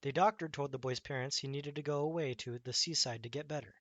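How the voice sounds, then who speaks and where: A man's voice: medium pitch, quick pace, fairly bright tone, dry recording. Man narrating a bedtime story, close dry sound.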